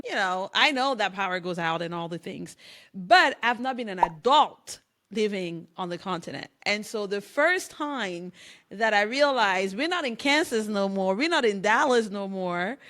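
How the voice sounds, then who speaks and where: A middle-aged woman from Cameroon talks straight to camera as a business coach, chatty and lively. Her voice sits at a middle pitch. She laughs now and then. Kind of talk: casual talk to camera.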